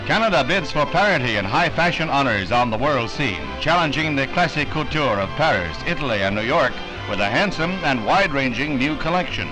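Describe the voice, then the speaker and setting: A man's voice: mid-range voice, sing-song, a little fast, hardly any pauses, rough recording. An American man narrating a 1960s newsreel from a script, with a polished, declamatory announcer's delivery. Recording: vintage 1960 newsreel soundtrack, music behind.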